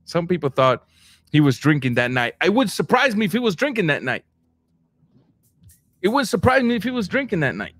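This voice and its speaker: A man from Cuba, hosting a casual boxing livestream and reading viewer comments, animated, stumbling over his words and jumping up in pitch. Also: fairly quick, long pauses, no room sound. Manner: animated, repeats words and restarts, rising to high bursts.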